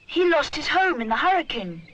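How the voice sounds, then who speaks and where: A kindly young boy bear from a children's cartoon story, played by a woman. His voice is high, bright and lively, with an earnest, slightly anxious way of speaking. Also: moderate pace, no room sound, low-quality recording.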